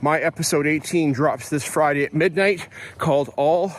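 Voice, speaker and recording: A man's voice: a little high, moderate pace. A middle-aged Canadian man hosting a podcast, talking casually with many fillers and restarts while out on an early morning walk. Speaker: podcast host. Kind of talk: casual spoken reflection.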